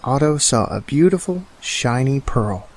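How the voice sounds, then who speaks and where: A man reading children's bedtime stories aloud in a slow, gentle storybook manner. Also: mid-range voice, animated, slight background noise.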